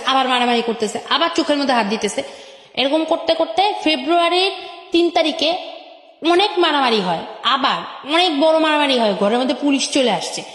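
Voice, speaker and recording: A woman's voice: sits high, fairly bright tone. A young woman with a Bangladeshi Bengali accent and an electronically altered voice, telling her life story emphatically and repeating phrases. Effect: voice changer on the voice. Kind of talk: personal storytelling on a radio show. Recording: echoey with long reverb.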